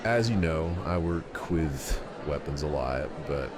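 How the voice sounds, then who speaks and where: A man playing a calm, casual military operative and gadget maker in an amateur audio drama. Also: a bass voice, slow pace, crowd noise, heavily acted. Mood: matter-of-fact. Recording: clearly noisy home recording.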